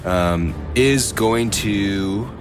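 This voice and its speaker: A man's voice: fairly low pitch, very slow, music in the background. A man playing a tabletop game, casually narrating his character's moves as he thinks aloud.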